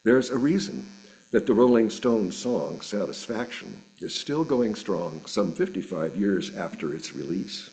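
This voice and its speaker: Male sermon reader, mature, lowish pitch, very animated melody, deliberate scripted delivery with weighted pauses. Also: rough recording. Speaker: reader of a sermon at a church service. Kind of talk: sermon read aloud from a script.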